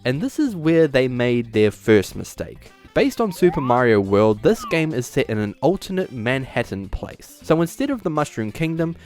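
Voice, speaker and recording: A man's voice: mid-range voice, big pitch swings, moderate pace, few pauses, a little mellow, faint music behind. A man presenting an opinionated video essay in a casual way, partly scripted, as the host. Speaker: YouTube host.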